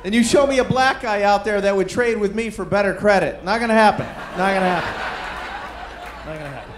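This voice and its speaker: A middle-aged man doing a stand-up comedy set on a club stage, deadpan and self-deprecating, with rambling asides. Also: high-pitched, fairly quick. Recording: roomy live club with crowd noise. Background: audience murmur and laughter.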